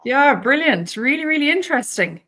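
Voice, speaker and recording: A woman's voice: high voice, big pitch swings, normal speed, pushed and bright. A woman hosting a member interview as community manager, talking in an upbeat, enthusiastic way.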